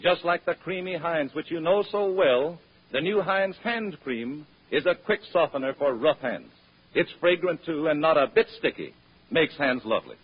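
An American man announcing in polished old-time radio advertising style, with a smooth sales delivery. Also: on the high side, lively intonation, normal speed. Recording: dull old radio broadcast recording.

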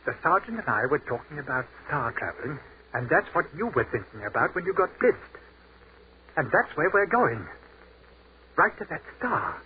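An actor playing an earnest, awestruck army captain in a 1940s radio horror drama, intense and halting. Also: male voice, a little high, sing-song. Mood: urgent, probing. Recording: muffled, hissy 1940s radio recording.

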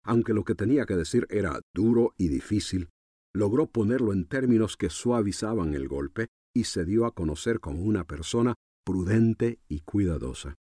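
A man preaching a radio sermon in a deliberate, expository manner. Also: lowish voice, animated, warm tone, no echo, clean studio sound. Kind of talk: radio sermon in Spanish, measured teaching.

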